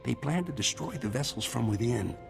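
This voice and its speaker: An actor playing a smug, amoral scientist villain in a video game, speaking with unctuous, mocking politeness. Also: male voice, medium pitch, animated, fairly quick, gravelly, music in the background. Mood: smugly pleased. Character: smug amoral male surgeon-scientist, a glib collaborator villain. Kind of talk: scripted video game cutscene acting.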